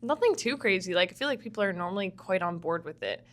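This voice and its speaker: A young woman from Vancouver talks easily as a podcast guest, her voice sitting at a middle pitch. She is chatty and laughs often, sprinkling "like" through her sentences in a loose, casual interview style. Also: expressive, fast talker, a bit bright. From Vancouver, Canada. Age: young adult. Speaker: podcast guest, musical theatre student.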